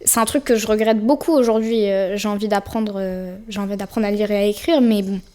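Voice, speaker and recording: A woman's voice: mid-range voice, steady tone, fairly quick, a little mellow. A young woman from France, a podcast guest, chatting casually and easily with plenty of fillers and slang. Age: young adult. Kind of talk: casual interview chat.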